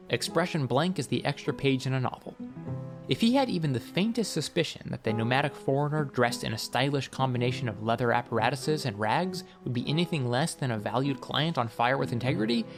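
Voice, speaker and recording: A man reading scripted fiction as an audiobook narrator, with a wry, expressive storytelling manner. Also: mid-range voice, fairly quick, over music.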